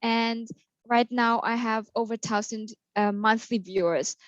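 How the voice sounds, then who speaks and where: A woman's voice: a little high, steady tone, measured pace, no echo. A young woman from Austria, a guest on an interview, talking fluently and upbeat with many fillers. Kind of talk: casual interview. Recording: slightly dull, lo-fi call audio.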